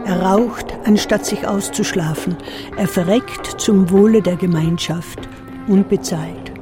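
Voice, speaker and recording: An actress playing a bitter, sober chronicler in a radio play. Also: female voice, medium pitch, a little slow, music in the background, lightly acted. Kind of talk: scripted radio play narration.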